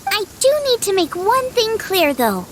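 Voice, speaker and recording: Childlike fairy sidekick, piercingly high, lively swoops, chirpy, bossy, video game cartoon style. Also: female voice, a little slow, a little hiss or hum, full character voice. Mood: cautioning. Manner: squeaky, chirpy, scolding, speaks of self in third person. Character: tiny floating fairy companion, childlike, cheeky and bossy. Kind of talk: animated video game voice acting.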